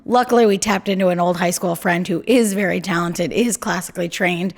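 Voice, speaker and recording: A young woman co-hosting a podcast from home in casual chat, talking in a chatty, self-deprecating way with a low voice. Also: a little fast. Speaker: podcast co-host.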